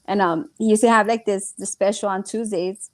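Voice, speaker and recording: A woman's voice: mid-range voice, steady tone, moderate pace, no room sound. A woman co-hosting a casual stream chat, laughing often and swearing freely. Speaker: stream co-host.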